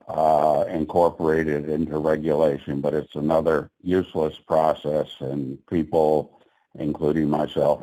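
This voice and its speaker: A man's voice: very deep voice, dark tone, poor audio. A man speaking at a public meeting, blunt and sarcastic about the agency's process. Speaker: petitioner at regulatory meeting.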